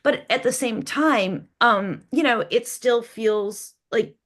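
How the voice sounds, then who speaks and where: A woman talking casually as a podcast creator in a panel discussion, animated and given to telling small anecdotes. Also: a little high, slow. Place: online video call.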